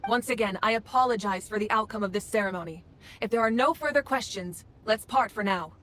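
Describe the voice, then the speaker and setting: An actress playing a composed female leader of a nation in a video game, speaking in a measured, formal, grave way. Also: medium pitch, fairly bright tone, close to an everyday voice. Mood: calm, formal. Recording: music under the voice.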